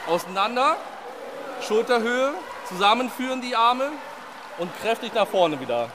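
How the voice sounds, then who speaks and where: A young man explains things as a swimming instructor. His voice is high, and there is clear pool noise around him. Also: animated, moderate pace. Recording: noisy swimming pool surroundings.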